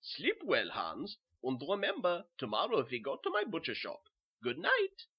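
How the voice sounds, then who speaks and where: A man's voice: fairly high pitch, huge pitch swings, normal speed, husky, dull audio, pitched above the performer's own voice, heavily acted. A man playing a hearty, coaxing German butcher with a German accent in a horror story. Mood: genial. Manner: genial, coaxing.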